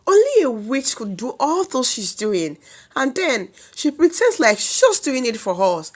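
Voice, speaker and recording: A woman storyteller puts on a jealous village gossip woman from a folktale. She has a Nigerian accent and a mocking, scornful delivery. Her pitch swoops about in a lively way. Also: unhurried, slightly rough, full character voice.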